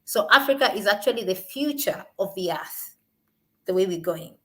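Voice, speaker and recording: A young woman from Kenya with a Kenyan accent, speaking at a panel in an emphatic way that rises to forceful peaks on her key points. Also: mid-range voice, expressive, moderate pace. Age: young adult. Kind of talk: impassioned panel talk.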